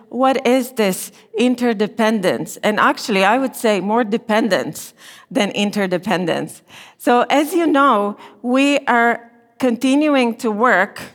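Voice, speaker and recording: A middle-aged woman from Romania with a Romanian accent, giving a gentle, unhurried chapel talk as a therapy lecturer. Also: fairly high pitch. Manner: gentle, unhurried, slightly non-native phrasing. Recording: long reverb in a chapel hall.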